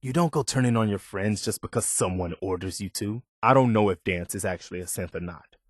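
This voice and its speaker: An actor playing an earnest, dutiful, plainspoken militia lieutenant in a post-apocalyptic video game. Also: male voice, on the low side, brisk, no room sound, studio quality, close to an everyday voice. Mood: indignant. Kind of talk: video game character dialogue.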